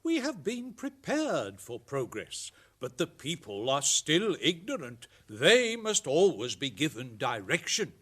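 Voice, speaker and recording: A man's voice: on the high side, huge pitch swings, measured pace, few pauses, fairly bright tone, breathy edge, full character voice. A man playing a smug, preachy member of parliament in a satirical radio drama, declaiming officiously.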